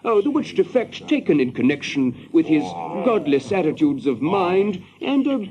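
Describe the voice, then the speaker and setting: An actor playing a rambling, mad-sounding medieval king who lectures in halting, pompous archaic speech in an audio drama. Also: high-pitched, big pitch swings, dull audio, a crowd in the background. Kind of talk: full-cast audio drama.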